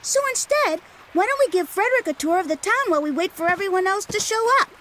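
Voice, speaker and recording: A man's voice: very high voice, animated, fast, pushed and bright, slight background noise, exaggerated voice. An actor playing a friendly, teasing young boy adventurer in an English video game dub.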